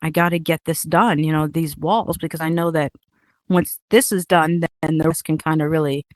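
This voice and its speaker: A woman's voice: sits low, fairly even intonation, moderate pace, a little mellow, dry recording, clean studio sound. A woman whose first language is Hungarian, chatting casually about building a game, rambling with many fillers and laughs. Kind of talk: casual chat.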